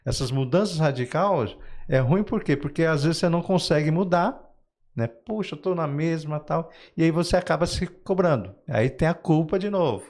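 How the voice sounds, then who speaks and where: A man's voice: a little high, normal speed, darkish tone. A man with a Brazilian Portuguese accent, speaking as a guest author in an interview, explaining ideas conversationally through everyday examples with frequent check-in tags.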